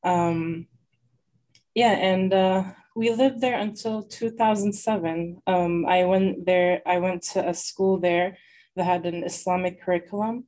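A woman's voice: lowish voice, steady tone, unhurried, darkish tone. A young woman raised in Lebanon, talking as a guest in a casual interview, hesitant and full of fillers. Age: young adult.

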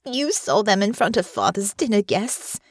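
A woman voicing an anxious, wounded young noblewoman, high and plaintive. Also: animated, moderate pace, no room sound, studio quality, close to an everyday voice. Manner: plaintive, rising, tearful pleading.

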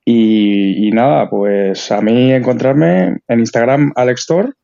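A man speaking casually and haltingly as a guest, repeating words, on a slightly lo-fi recording. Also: medium pitch, vocal fry, mellow. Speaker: guest author. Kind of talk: casual chat.

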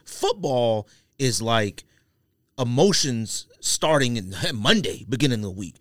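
A man's voice: medium pitch, very animated, unhurried, dry recording, studio quality. A man co-hosting a casual sports podcast, arguing emphatically and often repeating himself to make a point. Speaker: podcast co-host.

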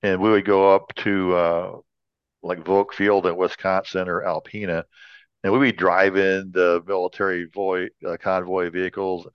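Casual American male co-host, low-pitched, anecdotal and joking, slightly muffled sound. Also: level tone, normal speed, smooth, dry recording. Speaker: podcast co-host. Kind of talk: casual chat.

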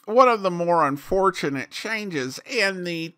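A man hosting a podcast, giving conversational review commentary in a wry, animated manner. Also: sits high, measured pace. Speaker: podcast host.

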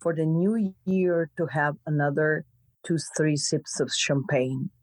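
A mature woman from Croatia with a Croatian accent, telling her story as an interview guest in halting, emphatic phrases. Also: sits low, a little mellow, no room sound. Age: middle-aged or older. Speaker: podcast guest.

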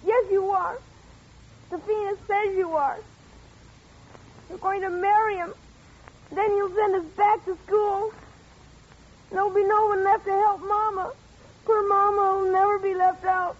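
Casting: young boy, extremely high voice, haunted and resentful, for vintage radio thriller, muffled old recording. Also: level tone, measured pace, pauses often. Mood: tearful, resentful. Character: frightened, stubborn young boy, grieving and resentful. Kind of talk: 1940s radio suspense acting.

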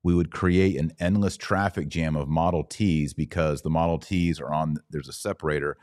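An American man hosting a podcast, giving casual, conversational political commentary live, with emphatic, drawn-out phrasing and rhetorical questions. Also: rumbling low, steady tone, a little mellow, no echo, studio quality. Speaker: podcast host.

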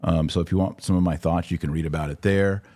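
A man with a deep, slightly husky voice hosts a live religious commentary show. He speaks emphatically and repeats short phrases to drive a point home. The sound is close and dry. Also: fairly even intonation, fast, dark tone, dry recording. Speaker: podcast host and religious commentator. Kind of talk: live commentary answering viewer questions.

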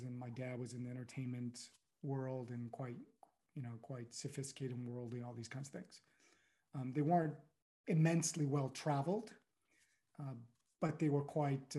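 A middle-aged man from the United States, a guest speaking reflectively in an interview, pausing often and filling with "you know". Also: medium pitch, dark tone. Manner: thoughtful, halting, many "you know"s.

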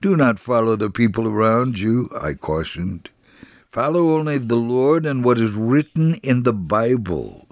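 A man giving a solemn, measured dramatic reading of a first-person devotional story. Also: mid-range voice, sing-song, dry recording, clean studio sound.

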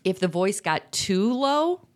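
A woman's voice: medium pitch, very animated, slow pace. A middle-aged woman co-hosting a podcast, explaining ideas earnestly in casual conversation, with fillers and restarts. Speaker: podcast co-host.

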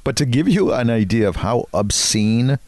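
Lively male podcast co-host, dry sound. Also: mid-range voice, moderate pace, no echo. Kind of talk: casual comic chat.

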